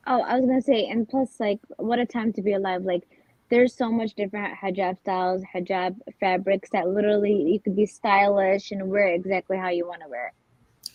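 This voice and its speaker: A woman's voice: medium pitch, steady tone, moderate pace, dry recording. A chatty woman from Michigan jumps eagerly into a podcast talk. Her voice comes through a little dull, with a dry, muffled sound. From Michigan, United States.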